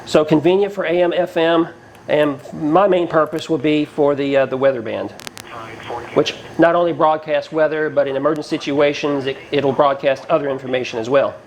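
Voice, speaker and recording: A man's voice: fairly high pitch, fairly even intonation, normal speed, slight background noise, poor audio. A man giving a casual, plainspoken product review to camera, explaining features as he goes, recorded inside a garage. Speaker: product reviewer, channel host. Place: inside a cinder-block garage.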